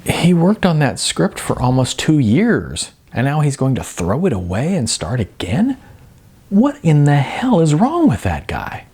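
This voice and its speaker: A man's voice: a little high, huge pitch swings, unhurried, darkish tone, husky, voice pushed up, exaggerated voice. A man voicing a blunt, exasperated, sardonic woman, an aspiring actress, in informal audiobook dialogue. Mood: baffled, exasperated.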